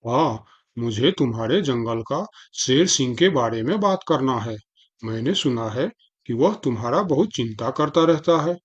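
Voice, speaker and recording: A man's voice: mid-range voice, animated, unhurried, breathy edge, highs cut off. A man voicing a sly, scheming tiger in an animal fable cartoon, with a coaxing, calculating manner. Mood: falsely friendly. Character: sly, scheming male tiger, smooth-talking predator.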